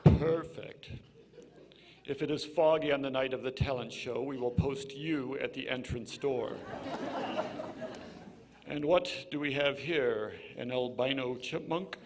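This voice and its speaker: A man's voice: mid-range voice, a little slow, pauses often, a crowd in the background, background noise, lightly acted. A man playing a pompous, snide radio host in a comedy sketch, with a haughty, sarcastic delivery. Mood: dryly sarcastic. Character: pompous, snide male radio host judging child auditions. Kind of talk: scripted comedy sketch in old-time radio manner.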